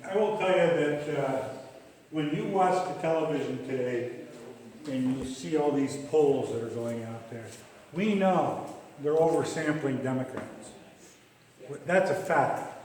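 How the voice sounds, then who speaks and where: A man speaks emphatically and insistently in a slightly high voice, pressing the same points again and again. He is picked up from a distance in a reverberant hall, with faint background noise. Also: a little mellow. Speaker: campaign speaker. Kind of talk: political speech at a club meeting. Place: meeting room. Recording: echoey hall from far off.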